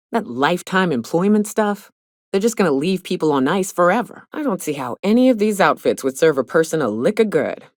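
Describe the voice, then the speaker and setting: Cynical game huntress, low pitch, lively intonation, wry, blunt, rustic plain talk. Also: female voice, dry recording, studio quality. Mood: wary, firm. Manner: wry, plainspoken, swearing, folksy rustic phrasing. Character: blunt, cynical frontier huntress and wilderness guide, adult woman. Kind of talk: video game character dialogue.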